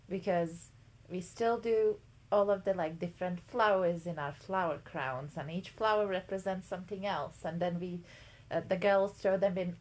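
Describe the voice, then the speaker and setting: A young woman from Latvia chats on a podcast, filling her talk with ums and likes. Her voice sits a little low. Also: rough recording. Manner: chatty, lots of ums and likes. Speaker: podcast co-host. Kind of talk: casual chat.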